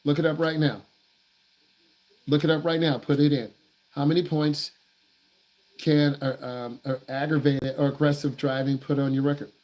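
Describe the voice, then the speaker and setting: A man's voice: on the high side, level tone, normal speed, pauses often, a little mellow. A man who works as a driving instructor answers viewer questions on a live stream in a blunt, emphatic way. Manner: blunt, emphatic, repeats himself for stress.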